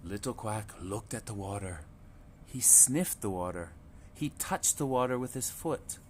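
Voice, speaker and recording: A man reading a picture book aloud to children in a slow, clear, expressive storytelling manner. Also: on the low side, a little hiss or hum.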